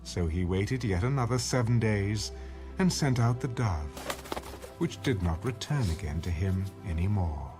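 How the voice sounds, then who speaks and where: A man narrating scripture from a script as an audio Bible, solemn, formal and measured. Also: fairly low pitch, lively intonation. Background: music under the narration.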